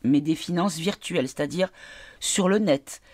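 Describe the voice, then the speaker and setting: A woman giving a casual card reading, tired and congested from a cold, halting as she searches for her words. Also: low-pitched, darkish tone. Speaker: card reader.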